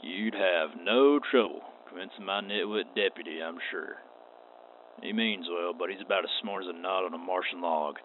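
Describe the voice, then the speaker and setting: An actor playing a stern, wary space western marshal in a radio play, with a terse, folksy drawl. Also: male voice, sits low, normal speed, natural voice. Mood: dry, skeptical. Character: gruff, wary, stern male frontier marshal. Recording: tinny band-limited audio with clear noise.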